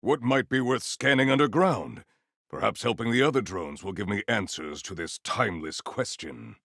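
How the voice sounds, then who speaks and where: An actor playing a noble, earnest warrior hero in a video game, speaking in a pompous, formal and grandiose way. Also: male voice, lowish voice, animated, normal speed, fairly bright tone, no room sound, clean studio sound. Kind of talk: video game character voice acting.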